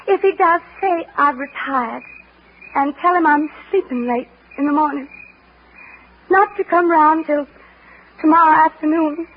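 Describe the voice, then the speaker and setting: Willful young Southern belle, high-pitched, old radio melodrama, dull vintage recording. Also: female voice. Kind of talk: 1940s radio drama acting. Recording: muffled vintage radio broadcast, faint music.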